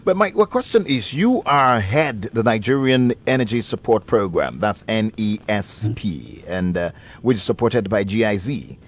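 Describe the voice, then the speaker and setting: A man's voice: fairly low pitch, sing-song, moderate pace, hardly any pauses. A man co-hosting an interview, enthusiastic, often cutting in with short reactions. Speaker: co-host. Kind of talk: casual interview chat.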